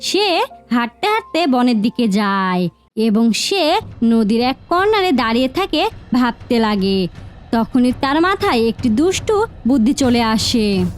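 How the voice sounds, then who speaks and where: A woman narrating a scripted fairy tale as an expressive storyteller. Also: on the high side, a little slow, soft background music.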